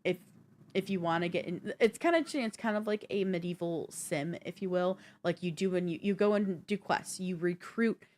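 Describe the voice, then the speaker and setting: A woman's voice: lowish voice, normal speed, darkish tone. A woman co-hosting a video game podcast, chatting casually and explaining things in an informal way. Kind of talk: casual gaming talk show chat.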